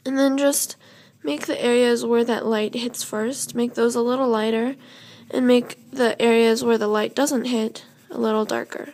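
A young woman with a slightly hoarse voice, chatting loosely through a step-by-step drawing tutorial while she sketches. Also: a little high, fairly even intonation, moderate pace, few pauses, darkish tone. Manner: chatty, loose, with fillers and self-corrections. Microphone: camera over the drawing paper, speaker off frame.

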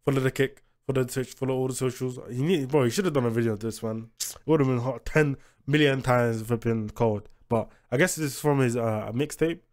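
A man's voice: medium pitch, fairly quick, hardly any pauses, darkish tone. A young British man giving casual, slangy reaction commentary as a host, emphatic and often repeating himself. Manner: repetitive, emphatic, informal.

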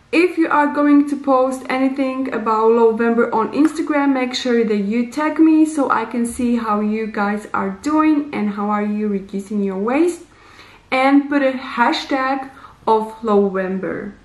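A woman in her thirties giving deliberate, simply worded advice to camera in slightly non-native English, in a casual instructional vlog recorded in a small bare room. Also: fairly high pitch, echoey room. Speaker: vlogger, host.

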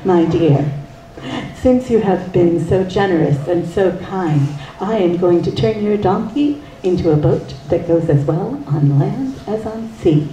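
A woman doing a gentle wise old woman. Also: fairly low pitch, expressive, a little slow. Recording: roomy distant mic, faint ambience.

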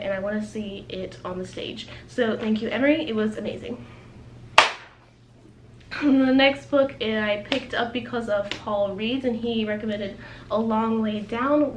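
A roomy, echoing recording with faint noise carries a young woman's fairly high voice. She speaks in the informal, chatty style of a book vlogger, conversational and unscripted. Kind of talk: casual chatty video blog.

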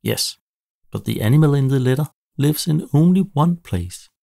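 A man's voice: mid-range voice, lively intonation, normal speed, creaky, warm tone, no room sound, clean studio sound, voice pushed up. A man voicing a cheerful, confident male cartoon-animal inventor and adventurer in a storybook, upbeat in manner.

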